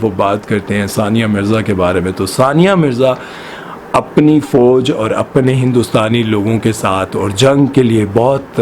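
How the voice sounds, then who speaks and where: A man's voice: lowish voice, darkish tone, slight background noise. A man from Pakistan, giving opinionated news commentary and firing off rhetorical questions with passion. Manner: impassioned, full of rhetorical questions.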